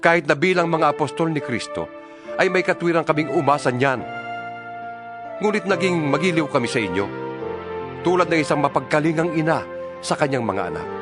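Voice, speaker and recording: Man, Filipino accent, solemn scripture narrator, earnest, dramatized delivery, wide-ranging intonation, clear background music. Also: fairly high pitch.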